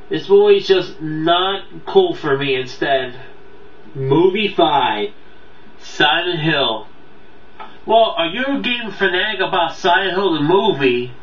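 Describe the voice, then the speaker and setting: A man hosting a casual countdown review, talking off the cuff in a rambling, halting way that often loses its thread. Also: high voice. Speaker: video countdown host and reviewer. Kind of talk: casual unscripted review talk to viewers. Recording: roomy, distant, dull mic, faint noise.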